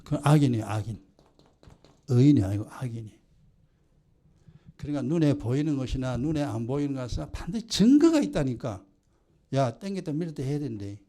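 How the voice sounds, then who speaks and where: A man's voice: medium pitch, big pitch swings, a little fast, long pauses, dark tone. A man with a Gyeongsang Korean accent preaching a sermon as pastor, scolding his congregation with rhetorical questions.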